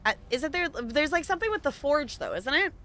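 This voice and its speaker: A woman's voice: sits high, big pitch swings, brisk, sharp tone. A woman streamer chatting playfully and rambling through casual live commentary on a game.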